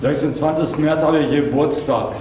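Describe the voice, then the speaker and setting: A man's voice: a little high, fairly even intonation, normal speed. A man giving a simple self-introduction in short plain statements. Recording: very echoey, distant, noisy room.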